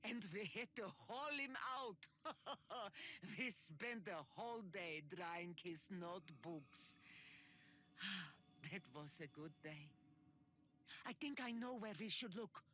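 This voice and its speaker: Wistful grieving settler. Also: female voice, mid-range voice, slow, sharp tone, slight background noise. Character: grieving middle-aged woman settler, wistful.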